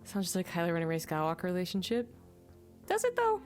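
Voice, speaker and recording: A woman's voice: lowish voice, huge pitch swings, fast talker, faint music behind. A young adult woman casually reviewing films, rambling and stopping to search aloud for names. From native English speaker. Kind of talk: casual film review chat.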